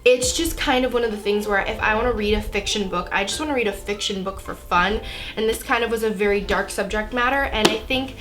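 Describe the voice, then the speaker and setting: A woman's voice: medium pitch, a little fast, fairly bright tone. Young American woman with a cold, music behind. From Florida, United States. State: has a cold. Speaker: book vlogger. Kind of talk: casual chat to camera.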